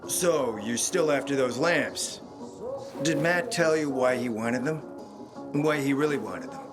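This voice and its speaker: An actor playing a suave, scheming middle-aged spymaster in a video game, speaking in a theatrical, smooth, insinuating way. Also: male voice, mid-range voice, unhurried, lightly acted. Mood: probing, wary. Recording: loud music behind the voice.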